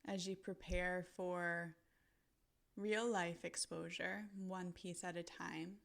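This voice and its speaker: A woman narrating a guided visualization in a gentle, soothing manner, pausing deliberately between short phrases. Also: on the low side, level tone, creaky.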